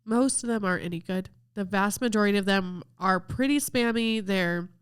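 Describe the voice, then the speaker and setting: A woman talking in a relaxed, upbeat way as she hosts a podcast. She sounds a bit stuffed up from a cold, but her voice stays smooth and clear. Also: medium pitch, normal speed. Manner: chatty, upbeat, lively recommending tone. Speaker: podcast host. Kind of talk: casual video review talk.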